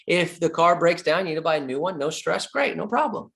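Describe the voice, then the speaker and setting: A man's voice: fairly high pitch, lively intonation, a little fast, gravelly, a bit bright. A middle-aged man giving a sales training talk as a real estate coach, emphatic, repeating his key points and joking with the audience.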